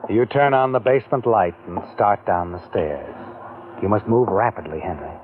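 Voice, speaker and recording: A man's voice: lowish voice, normal speed, slight background noise. A man narrating a radio mystery in the second person, teasing the listener with insinuating questions. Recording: tinny old radio broadcast.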